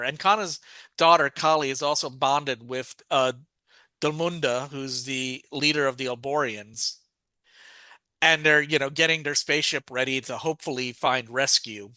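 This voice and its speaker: Bright-voiced man recapping a plot. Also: a little high, fairly even intonation, normal speed, clean tone, no room sound. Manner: rambling, many restarts and fillers. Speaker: podcast co-host.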